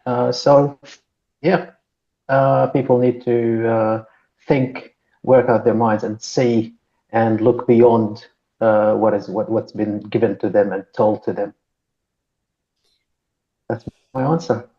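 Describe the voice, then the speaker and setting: A man's voice: medium pitch, steady tone, slow pace, long pauses, dark tone, dull audio. A man from Syria, co-hosting a podcast chat and speaking thoughtfully with many fillers and tag questions. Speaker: podcast co-host. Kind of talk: casual panel discussion.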